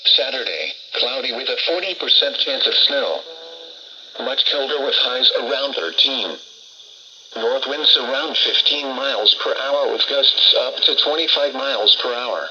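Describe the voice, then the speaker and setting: A computer voice reading a weather forecast in an even, flat way, played through a small radio speaker. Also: medium pitch, unhurried. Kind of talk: automated forecast readout. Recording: dull radio speaker played near a mic, hiss.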